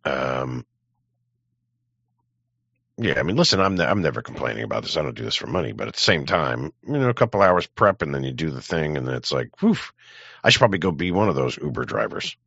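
Very deep, gravelly male streamer. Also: very animated, fairly quick, fairly bright tone, no room sound. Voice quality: gravelly, husky. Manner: rambling, blunt, profane. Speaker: live streamer. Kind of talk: casual chat to stream viewers. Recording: dull, close, dry microphone.

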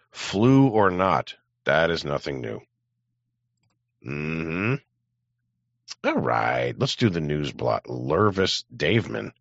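A man live-streaming in casual chat, rambling bluntly and swearing, with a gravelly, husky voice. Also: extremely low pitch, fairly bright tone, dry recording. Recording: dull, close, dry microphone.

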